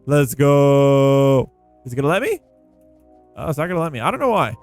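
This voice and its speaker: A man giving casual gameplay commentary, thinking out loud in an informal way. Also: mid-range voice, sing-song, moderate pace, no echo. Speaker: gaming video creator. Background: background music.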